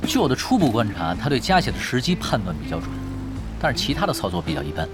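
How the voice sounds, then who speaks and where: An actor playing a shrewd, easygoing young pro gamer in an audio drama, teasing and measured. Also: male voice, medium pitch, wildly swooping pitch. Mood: analytical. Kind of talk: Mandarin audio drama acting. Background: prominent background music.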